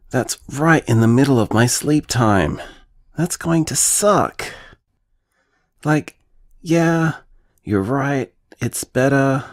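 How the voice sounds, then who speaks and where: A man's voice: mid-range voice, heavily acted. An actor playing an earnest, restless young man in a naturalistic audio drama, speaking hesitantly and reflectively as if leaving voicemails.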